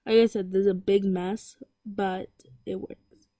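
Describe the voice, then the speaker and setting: A woman's voice: medium pitch, flat delivery, slow pace, mellow, no room sound, clean studio sound. A teenage girl talking casually about packing her lunch in a home kitchen, rambling with lots of fillers and restarts. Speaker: vlogger. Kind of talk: casual voice-over chat.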